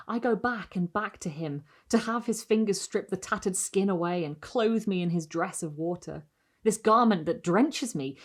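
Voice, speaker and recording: A woman reading gothic literary prose aloud as dramatic narration, with expressive, deliberate phrasing and pauses. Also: fairly low pitch. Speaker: reader of literary short stories.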